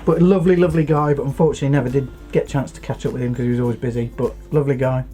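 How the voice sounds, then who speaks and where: A man's voice: medium pitch, steady tone, very fast, dark tone, soft background music. A middle-aged man with a British accent, co-hosting a casual nostalgic chat. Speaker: co-host.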